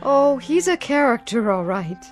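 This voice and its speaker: Concerned, high-voiced woman. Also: very animated, measured pace, faint music behind. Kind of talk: television drama acting.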